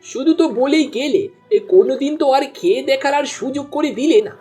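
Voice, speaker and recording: A woman's voice: high voice, fairly quick, mellow, faint music behind, close to an everyday voice. A woman voicing a friendly, cautious village fisherman in a cartoon dub. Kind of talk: cartoon dubbing.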